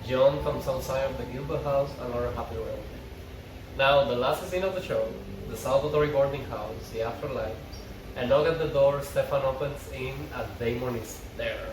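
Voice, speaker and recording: A man's voice: medium pitch, normal speed. A man speaking as a fan, reading stage directions aloud or chatting casually. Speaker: fan table reader and commentator. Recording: echoey, far from mic, noisy room.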